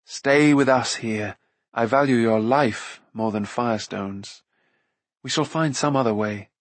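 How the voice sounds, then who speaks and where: A man's voice: mid-range voice, unhurried, dry recording, natural voice. A man voicing an earnest, caring young hero in an audiobook, with a concerned manner. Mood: anxious, resolute.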